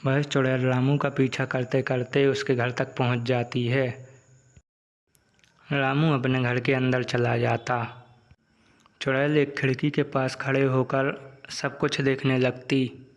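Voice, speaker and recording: A man's voice: medium pitch, little pitch movement, slow pace. A man reading a scripted folk tale as the narrator. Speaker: story narrator.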